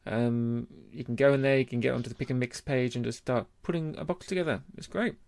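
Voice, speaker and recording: A man's voice: mid-range voice, fast, creaky, darkish tone, dry recording, clean studio sound. A man with a British accent, chatting casually as co-host of a beer podcast.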